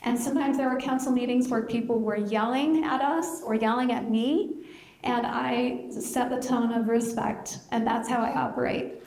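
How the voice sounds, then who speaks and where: A woman answering at a debate as a county commission candidate and former mayor, speaking earnestly in a measured way. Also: on the high side, fairly even intonation, a little mellow, reverberant, low-quality recording.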